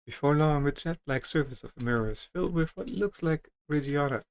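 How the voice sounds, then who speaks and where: A man's voice: on the high side, brisk, smooth. A man reading a story game's text aloud in a dramatic style, with casual asides and music behind him.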